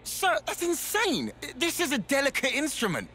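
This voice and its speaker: A jittery young mechanic in a game who stammers out his worries to his boss. His voice is very high, bright and lively. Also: normal speed, heavily acted. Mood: nervous. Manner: stammering, anxious protests. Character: nervous young male mechanic under a loud boss. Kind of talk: video game voice acting.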